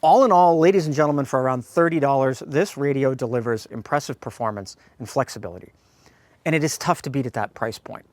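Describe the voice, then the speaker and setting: A man's voice: medium pitch, brisk, darkish tone, dry recording. A man presenting an informal product review, addressing viewers directly in a folksy way as a host. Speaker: host of a radio gear review channel.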